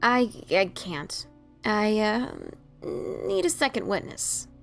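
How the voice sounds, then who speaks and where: Casting: female actor as a self-doubting pegasus adventurer-writer, creaky, casual and halting, fan audio drama over music. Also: mid-range voice, sing-song, fairly bright tone, heavily acted. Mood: sheepish. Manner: hesitant, stammering, casual.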